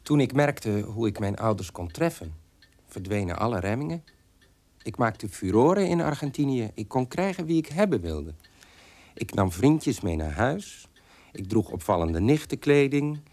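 Bitter, defiant son, animated radio monologue. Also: male voice, medium pitch, moderate pace. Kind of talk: Dutch radio drama monologue.

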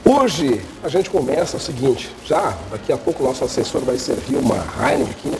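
A man's voice: medium pitch, animated, fairly quick, darkish tone, poor audio. A man hosting a casual cooking show in a showroom kitchen, talking in a chatty way with restarts. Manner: chatty, many restarts and fillers. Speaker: host. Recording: music under the voice. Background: background music.

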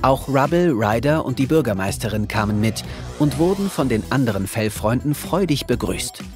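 A man's voice: medium pitch, animated, moderate pace, music in the background, lightly acted. A man narrating a children's cartoon audio play in a scripted storybook style. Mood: storytelling.

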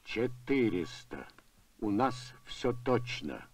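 A man voicing a precise robot waiter in a Soviet cartoon, measured and exact. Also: medium pitch, big pitch swings, breathy edge, full character voice. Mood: matter-of-fact. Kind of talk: Soviet animated film voice acting.

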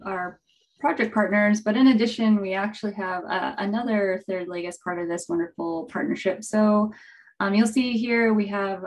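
An upbeat woman leads an online webinar as its host over a video-call mic. She keeps things friendly and flowing, with a scattering of fillers and restarts, at a middling pitch. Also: rough recording. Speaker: webinar host, project manager. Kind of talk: scripted-leaning webinar presentation.

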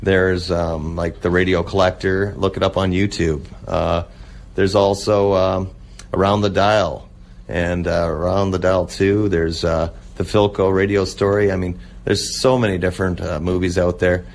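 Halting, low-voiced man being interviewed. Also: flat delivery. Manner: halting, rambling. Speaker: radio show guest, old-time radio enthusiast. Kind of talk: casual interview chat.

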